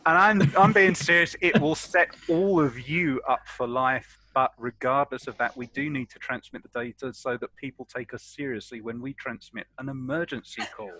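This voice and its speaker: A man's voice: medium pitch, normal speed, few pauses, pushed and bright, rough recording. A man playing a captain in a tabletop role-playing session, speaking in an insistent, argumentative way.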